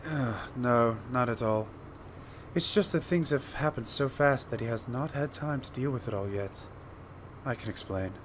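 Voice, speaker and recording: A man's voice: mid-range voice, moderate pace, slight background noise, natural voice. A man playing a courteous, inquisitive scholarly doctor in an audio drama, with precise and formal wording. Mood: apologetic, calming. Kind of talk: fan audio drama acting.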